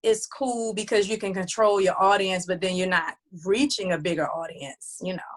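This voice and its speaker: A woman recording artist talks through her plans in a loose, chatty interview style, stopping and restarting her sentences with plenty of fillers. Her voice sits in an ordinary middle range for a woman, with no marked highs or lows. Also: fairly even intonation, normal speed. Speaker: recording artist and label head. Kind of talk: casual interview chat.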